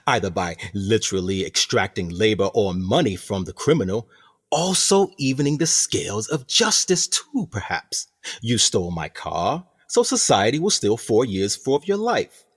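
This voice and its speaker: A man playing a smooth, sinister prison director in a radio play, courteous, formal and theatrical in his eloquent, measured speech. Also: lowish voice, exaggerated voice. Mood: proudly explaining. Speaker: actor in an audio drama.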